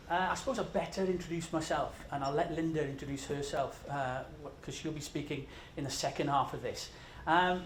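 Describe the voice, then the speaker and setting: A Scottish man giving a conversational, self-deprecating presentation at a conference, jet-lagged and full of fillers. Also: medium pitch. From Scotland. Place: large conference room. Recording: echoey conference room with faint noise.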